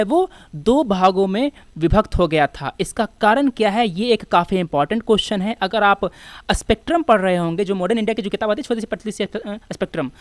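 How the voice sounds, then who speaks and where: A woman's voice: mid-range voice, dry recording. A woman with an Indian accent teaching exam material in an informal, explanatory lecture, addressing her students as friends. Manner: explanatory, addresses students as friends, switches between two languages.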